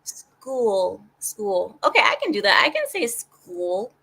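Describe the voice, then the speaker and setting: A woman's voice: medium pitch, huge pitch swings, slow, gravelly, bright, forceful tone, husky, low-quality recording. A woman who is a native Arabic speaker, hosting a casual group chat and repeating words as she explains herself. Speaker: host of a group discussion.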